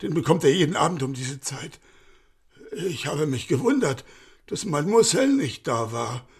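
A panicky old servant with a husky voice. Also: male voice, a little high, lively intonation, moderate pace. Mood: anxious. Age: older. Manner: agitated, tumbling nervous speech with stammers. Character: old frightened male servant, anxious and fussy. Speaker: servant of a baron. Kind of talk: radio play acting.

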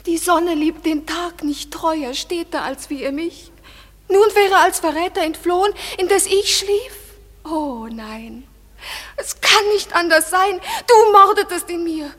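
A woman's voice: very high-pitched, expressive, takes it slowly, a bit bright, exaggerated voice. An actress playing a passionate, distraught young woman in love in a radio drama, declaiming verse dramatically. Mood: accusing anguish. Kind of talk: classic stage-verse radio drama acting.

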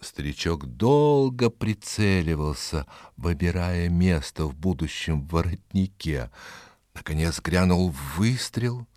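A man narrating a children's animal story in an expressive, scripted storytelling style. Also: low-pitched, takes it slowly, dry recording, studio quality.

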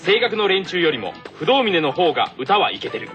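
A man's voice: a little high, big pitch swings, a little fast, dull audio. A man co-hosting a comedic radio show, politely presenting quiz questions and bantering. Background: loud background music.

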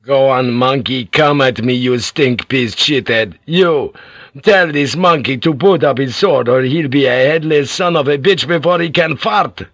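A man voicing a crude, brutish sailor who threatens loudly. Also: lowish voice, very animated, slow pace, no echo, exaggerated voice. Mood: menacing. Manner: coarse, threatening, loud.

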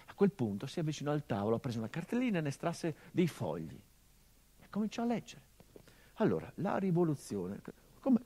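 A male actor delivering a theatrical monologue as a son remembering his father, measured and intimate, swelling into urgency. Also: fairly high pitch, sing-song, warm tone.

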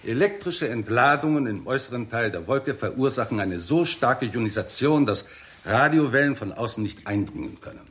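An actor playing a confident scientist who lectures deliberately and emphatically in a 1960s German radio drama. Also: male voice, mid-range voice, animated, fairly bright tone, natural voice. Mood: assured, emphatic. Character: male scientist, confident, explanatory, theorising.

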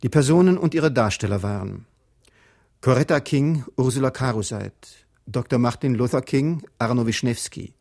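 Mellow-voiced man announcing radio credits. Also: mid-range voice. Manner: measured, sober. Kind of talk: formal scripted radio announcement.

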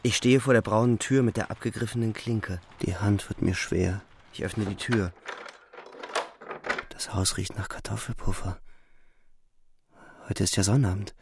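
An actor playing a weary, haunted young soldier, narrating a radio play in brooding, restrained short sentences. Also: male voice, lowish voice, steady tone, a little slow, long pauses, background noise. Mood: wistful. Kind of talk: literary radio play narration, inner monologue.

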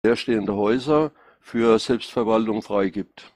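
A middle-aged man with a Rhine-Hessian accent, part Hessian and part Palatine, speaking informally and haltingly as a political candidate answering questions. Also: lowish voice, a little mellow, no echo. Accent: Rhine-Hessian, mixing Hessian and Palatine. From Rhine-Hesse, Germany. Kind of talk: informal spontaneous self-presentation. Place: online voice chat.

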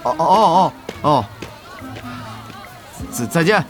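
Lively, effusive guild leader. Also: male voice, a little high, slow, soft background music, some chatter behind. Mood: ingratiating. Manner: effusive, chummy, exclamatory. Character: affable, scheming male online guild leader, eager to please. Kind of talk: audio drama acting.

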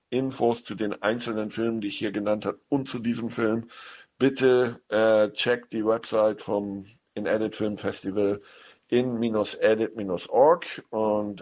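A man reviewing films on the radio in an unscripted, halting way, searching for words. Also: on the low side, a little mellow. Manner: halting, hesitant delivery with searching pauses. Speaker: film critic on a radio show. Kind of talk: unscripted radio film recommendations.

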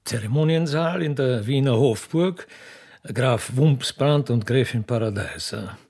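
A man narrates a radio play from a script. His voice is husky with a good deal of creak, at a medium pitch. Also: measured pace, darkish tone.

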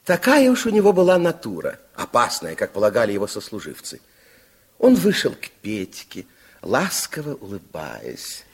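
Husky, animated male storyteller. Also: lowish voice, normal speed. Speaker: narrator. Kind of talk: expressive scripted storytelling in 1960s radio play.

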